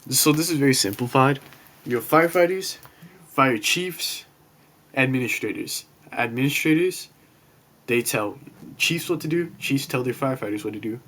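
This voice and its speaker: A young man giving a casual class presentation from his bedroom, talking quietly and apologizing as he rambles a bit. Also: mid-range voice, normal speed. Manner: quiet, self-conscious, apologetic, loose and rambling. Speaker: student presenting a class project. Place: bedroom in an apartment next to a street. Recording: faint street noise.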